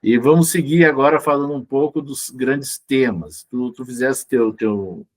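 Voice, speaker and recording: A man with a Brazilian accent hosts a conversation in a mid-range voice. His delivery is informal and somewhat halting, with repeated words while he gathers each phrase. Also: medium pitch, a little mellow. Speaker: interview host. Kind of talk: conversational interview hosting.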